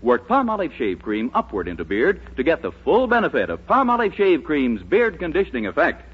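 A man's voice: a little high, wildly swooping pitch, brisk, slightly rough. A man announcing and narrating a 1940s radio show in a scripted, old-time broadcast style. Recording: muffled old radio recording with faint noise.

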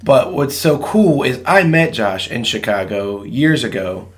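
A young man from Virginia co-hosting a podcast in casual chat, his voice low and rough after a late night, rambling and laughing often. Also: lively intonation, unhurried. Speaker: podcast co-host.

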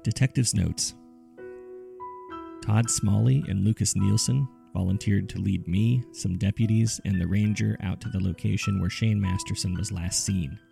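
A man hosting a story podcast, reading scripted mystery case files in a measured, serious storytelling manner. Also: lowish voice, fairly even intonation, gravelly, soft background music. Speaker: podcast host and narrator.

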